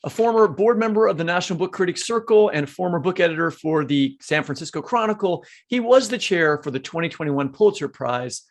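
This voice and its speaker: A man's voice: high voice, expressive, a little fast, hardly any pauses. A man co-hosting a literary podcast, chatting casually and reading out award nominees with small asides. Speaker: podcast co-host. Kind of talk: podcast conversation, reading lists.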